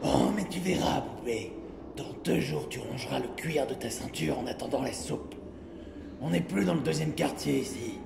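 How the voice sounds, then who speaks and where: An actor playing a grumbling, weary prisoner with a gravelly voice and a colloquial, sardonic way of talking in an audio drama. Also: male voice, mid-range voice, lively intonation, moderate pace. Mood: mocking. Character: grumbling weary male prisoner eating soup, mocking newcomers. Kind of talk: French audio drama acting. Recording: echoey reverberant room with loud noise.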